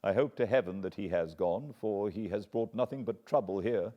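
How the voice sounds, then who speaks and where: A man's voice: fairly low pitch, normal speed, muffled recording, voice pushed up, close to an everyday voice. An English man voicing an anxious, earnest older butler who pleads and frets. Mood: guarded. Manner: agitated, pleading.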